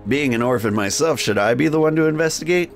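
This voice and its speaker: A man hosting a game livestream, switching between jokey, rambling chat and reading game text aloud in a gravelly voice. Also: on the high side, lively intonation, quick pace. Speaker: game streamer and host. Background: music.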